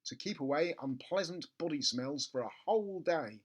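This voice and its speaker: A man voicing product labels in a storybook, with an emphatic, declarative sales-pitch delivery. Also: expressive, normal speed. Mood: emphatic, promotional. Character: product label read out like a pompous advertisement.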